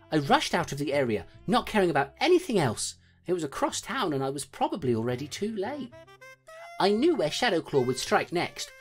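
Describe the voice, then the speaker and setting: Creature sleuth, deep for female, highly expressive pitch, clipped noir narration, grim, audio drama. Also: husky. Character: small clawed creature investigator, streetwise, grimly self-deprecating narrator. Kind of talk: dramatic first-person storytelling in an audio drama.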